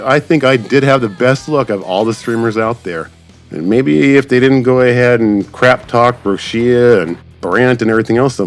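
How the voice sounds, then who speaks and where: A man giving casual, enthusiastic, rambling gameplay commentary as a channel creator. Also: lowish voice. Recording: background music behind the voice. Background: music.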